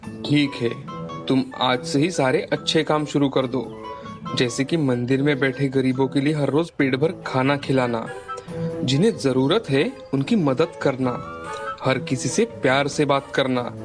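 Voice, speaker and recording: A man's voice: medium pitch, a little slow, close to an everyday voice. Man playing a solemn sage over prominent music. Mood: calm, advising. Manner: grave, instructive. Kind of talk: animated moral-story dialogue.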